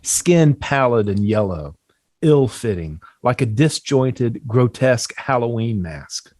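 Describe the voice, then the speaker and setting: A man's voice: medium pitch, lively intonation, slow pace, a little mellow, no echo. A man reading stage directions from a horror screenplay as scripted narration.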